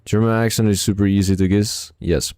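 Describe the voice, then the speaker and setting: A man's voice: lowish voice, fairly quick, clear, resonant tone, dry recording, clean studio sound. A man from Czechia with a Czech accent, chatting casually with viewers during a live game stream, reading their messages aloud and mumbling short asides. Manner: relaxed, mumbling asides, repeats short phrases.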